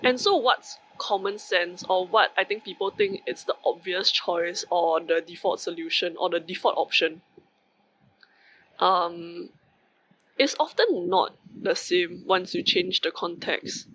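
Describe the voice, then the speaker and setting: Bright-voiced Singaporean man musing aloud. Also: sits high, unhurried, slight background noise, low-quality recording. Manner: rambling, many fillers and asides. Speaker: vlogger. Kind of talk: casual reflective monologue.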